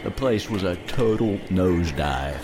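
Animated everyman husband, bantering. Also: deep voice, unhurried, a crowd in the background. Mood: easygoing banter. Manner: bantering, defensive. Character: everyman corporate husband, defensive, joking, in a strained marriage. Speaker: actor in an audio drama. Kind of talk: naturalistic audio drama acting.

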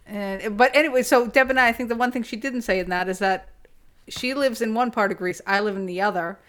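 A woman's voice: fairly high pitch, brisk, a bit bright. An American woman, a podcast guest, chatting casually and jokingly over an internet call. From Boston, United States. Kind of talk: casual chat.

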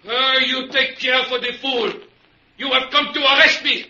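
An actor playing a defiant, suspicious, hot-tempered backwoods trapper in old-time radio style, with halting, foreign-sounding phrasing. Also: male voice, extremely high pitch, fairly even intonation, pushed and bright, roomy sound, heavily acted. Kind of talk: 1940s radio acting. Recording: dull old radio recording.

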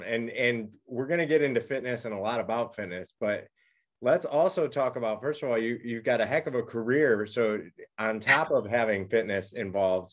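A man hosts an interview podcast in a friendly, casual way, with a voice of ordinary male pitch. He often stumbles and restarts his questions partway through. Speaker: host.

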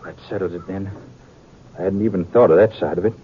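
A man's voice: low-pitched, fast talker, breathy edge. A man acting a proud, worried Old West farmer and father in a 1950s radio western, blunt and rustic with an American accent. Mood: agitated, grim. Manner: blunt, rustic, plain-spoken. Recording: muffled, noisy 1950s radio recording.

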